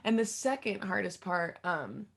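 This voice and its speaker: A young woman with a low, deep voice, a singer-songwriter talking casually and candidly in an interview, thinking aloud in fragments. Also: expressive, a little slow, creaky, breathy edge. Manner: candid and informal, thinking aloud in fragments, often quoting own thoughts.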